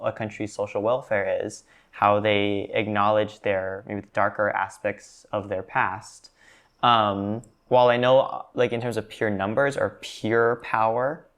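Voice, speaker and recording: Thoughtful young man with a low voice. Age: young adult. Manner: thoughtful, hedging with fillers. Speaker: student panelist. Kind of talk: class discussion.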